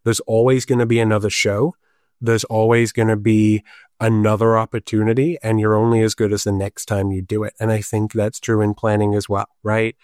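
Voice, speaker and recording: Man, Liverpool accent, low pitch, dry close sound, animated storyteller. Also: brisk, dry recording. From Liverpool, England. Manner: animated, self-deprecating storyteller. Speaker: guest, urban planner and improv comedian. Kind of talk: conversational podcast interview.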